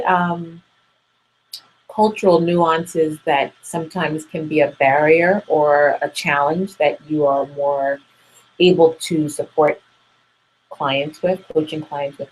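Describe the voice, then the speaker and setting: A woman's voice: sits low, a little slow, a little hiss or hum, rough recording. A woman hosting an interview, asking questions and encouraging her guest with frequent affirming murmurs. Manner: encouraging, many affirming mm-hmms. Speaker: talk show host. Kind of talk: interview conversation.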